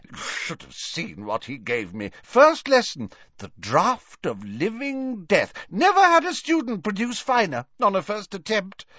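Booming, high-pitched jovial old professor. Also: male voice, wildly swooping pitch, unhurried, hardly any pauses, fairly bright tone, dry recording, dull audio, exaggerated voice. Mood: jovial, tipsy. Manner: effusive, exclaiming, booming. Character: jovial tipsy older male potions professor, effusive and booming.

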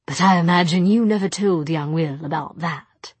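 A woman's voice: lowish voice, normal speed, darkish tone, husky, no room sound. A woman voicing an amused, worldly male warlock in an audiobook, speaking in a wry and knowing way. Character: immortal male warlock, amused, worldly, sardonic dinner guest. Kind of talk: audiobook character voice.

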